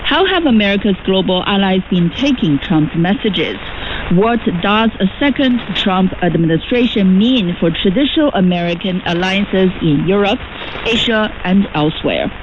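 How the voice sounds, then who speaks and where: A man's voice: high voice, lively intonation, moderate pace. A man presenting scripted international news as a programme host on shortwave radio. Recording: dull noisy shortwave radio broadcast.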